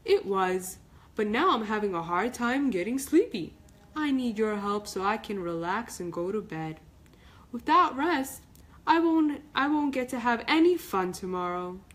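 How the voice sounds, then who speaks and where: A woman's voice: fairly high pitch, lively intonation, unhurried. A woman voicing a friendly male sun from a children's storybook, eager and pleading, with some background noise.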